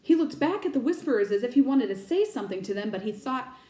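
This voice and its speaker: High, lively woman reading a storybook aloud. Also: reverberant. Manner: expressive, pauses to explain words to kids. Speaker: teacher reading aloud to children. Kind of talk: storybook read-aloud with questions to listeners.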